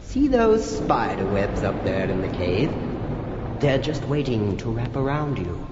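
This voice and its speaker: Hammy, slow, echoing vampire count. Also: male voice, mid-range voice, very animated. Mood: gleeful menace. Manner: hammy, drawn-out, alliterative, gleefully sinister delivery. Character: gloating theatrical male vampire count, menacing prankish villain. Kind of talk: campy horror comedy audio record. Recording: muffled, echoey, noisy old flexi-disc record.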